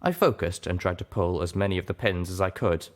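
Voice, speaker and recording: A man's voice: sits low, fairly quick. A man reading scripted fiction aloud as a dramatic audiobook narrator.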